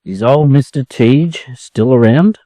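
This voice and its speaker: A man's voice: mid-range voice, slow, mellow, dry recording. An Australian man voicing a sociable, mildly strict mother duck in a storybook reading. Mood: excited, gossipy. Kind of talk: storybook character voice.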